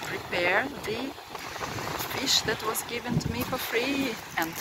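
A woman's voice: on the high side, slow pace. A woman with a Russian accent, chatting casually and exclaiming with enthusiasm as a travel vlogger on a handheld phone. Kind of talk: casual chat and vlog narration. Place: outdoors by the sea and in a car. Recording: noisy outdoor and car phone recording.

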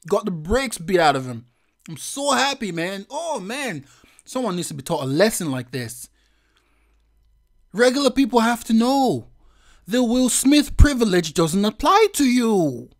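A man giving a profane, slangy reaction commentary as a vlogger, with loud laughing outbursts. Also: sits high.

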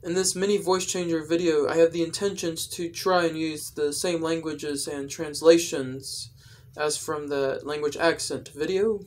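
A young adult man explaining things in a casual vlog style, with careful, halting phrasing, recorded indoors at home. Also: a little high, fairly even intonation. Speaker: video creator. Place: indoors, small room at home.

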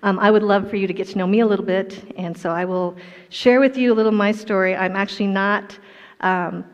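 A woman's voice: medium pitch, steady tone, fairly quick. Middle-aged female speaker, testimony talk, long hall reverb, muffled lo-fi sound. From Colorado, USA. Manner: warm storytelling, self-deprecating humor. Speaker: guest speaker. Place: large indoor hall stage. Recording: dull, echoey hall sound.

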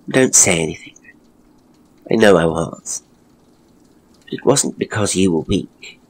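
Man voicing an earnest, halting young woman, slow-spoken. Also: low voice, slight background noise, low-quality recording.

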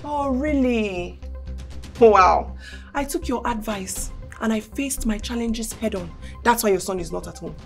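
Nigerian wife, film drama: higher voice, defiant, bitter, biting sarcasm. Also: female voice, unhurried, creaky, faint music behind. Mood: bitter sarcastic. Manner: sarcastic, cutting. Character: bitter, defiant wife and mother, scornful of her husband. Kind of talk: naturalistic film drama acting.

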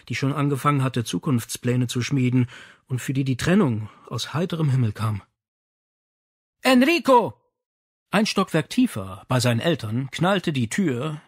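A man's voice: medium pitch, husky, no room sound, studio quality. A man reading a novel aloud as scripted audiobook narration.

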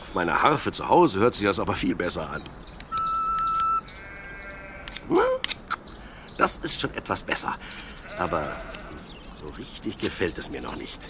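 Youthful shepherd boy for radio play: playful, dreamy, very lively intonation, noisy effects behind. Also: deep voice, moderate pace. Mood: musing. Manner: playful, expressive, talks to himself and his sheep. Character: sleepy, cheerful young shepherd boy. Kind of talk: audio play acting.

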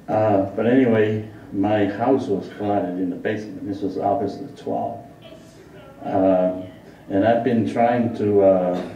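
A man in his sixties making a plain public comment to a council, hesitant and halting. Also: deep voice, dark tone, far from the mic, slight background noise. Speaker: resident addressing a council. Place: podium in large council chamber. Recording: dull, echoey room pickup from afar.

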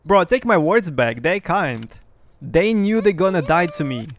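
A young man whose first language is Russian, giving excited, boastful live commentary on his game to his chat through a headset. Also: high voice, unhurried, slight background noise. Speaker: streamer.

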